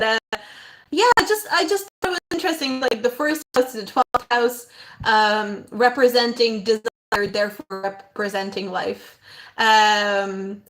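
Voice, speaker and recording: A young woman hosting an astrology livestream, whose first language is not English, talking in a rambling, reflective way with fillers and soft laughs. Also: high-pitched. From outside Ireland, moved there at eleven. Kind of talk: casual livestream talk.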